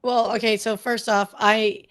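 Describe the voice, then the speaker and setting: A woman's voice: a little high, flat delivery, measured pace, a bit bright, clear, resonant tone. Chatty, enthusiastic woman podcast guest. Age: middle-aged. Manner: enthusiastic, chatty, emphatic, checks in with "right?". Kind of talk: casual conversational interview.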